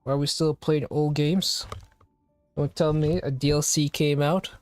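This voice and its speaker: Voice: medium pitch, fairly even intonation, a little slow, a little mellow, dry recording, faint music behind. A live streamer chatting casually to viewers, rambling and firing off questions to chat. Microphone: home streaming microphone.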